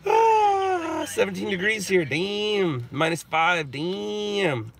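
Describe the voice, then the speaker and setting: A man's voice: high-pitched, very animated, slow, gravelly. A middle-aged man hosting a live stream from his car, stuffed up and sniffling, chatting loosely as he answers viewer questions. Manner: rambling, answers chat questions out loud. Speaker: live stream host reading viewer chat. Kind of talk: casual chat. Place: inside a car while driving.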